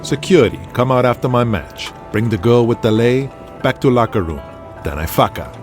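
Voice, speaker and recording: Male narrator as Hawaiian wrestler, easygoing, cocky, pidgin grammar, animated melody, audio drama. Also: medium pitch, moderate pace, faint music behind, voice pushed up, lightly acted. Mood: smug. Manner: pidgin grammar, breezy, boastful.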